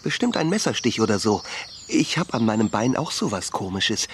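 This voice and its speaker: A plain-spoken, chatty male patient in a radio drama. His mid-pitched voice is animated, with faint birdsong in the background. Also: normal speed, slight background noise. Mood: curious. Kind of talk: German radio crime drama acting. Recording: birdsong and faint ambience behind.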